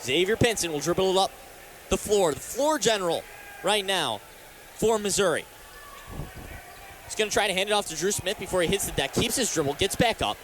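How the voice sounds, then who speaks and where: High-pitched American man calling basketball play-by-play. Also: lively intonation, brisk, pauses often, fairly bright tone. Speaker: radio play-by-play announcer and sports talk host. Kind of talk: live basketball play-by-play commentary. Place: courtside in a sports arena. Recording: live arena broadcast with faint background noise.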